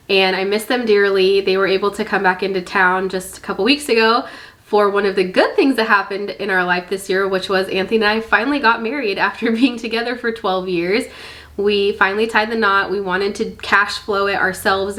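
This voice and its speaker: A woman's voice: mid-range voice, fairly bright tone. Congested, nasal woman chatting casually. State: congested with a head cold. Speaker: vlog host. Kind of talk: casual chatty vlog to camera.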